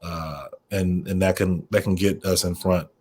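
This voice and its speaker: A man's voice: low-pitched, steady tone, a little slow, darkish tone, husky. An American man speaking as a radio program manager on a panel, explaining informally and often restarting phrases as he thinks.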